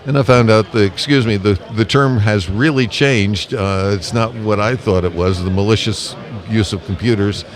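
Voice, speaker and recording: A man's voice: on the low side, normal speed, few pauses. A man being interviewed as a public relations manager, answering conversationally in an enthusiastic, talkative, emphatic way. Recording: live event with loud crowd behind. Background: busy crowd at a convention.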